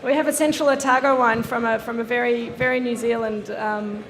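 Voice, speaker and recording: Gravelly woman moderating in an echoey room. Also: on the high side, fairly even intonation, brisk, crowd noise. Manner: thoughtful, hesitant, many fillers and restarts. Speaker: panel moderator and winemaker. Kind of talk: panel discussion at a wine tasting. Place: seminar room with live audience.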